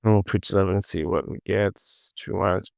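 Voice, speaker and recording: A man hosting a casual software tutorial, thinking aloud and muttering repetitively to himself as he works. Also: low voice, fairly even intonation, normal speed, vocal fry, dry recording, clean studio sound. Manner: muttering, repetitive self-talk. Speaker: 3D modeling tutorial host.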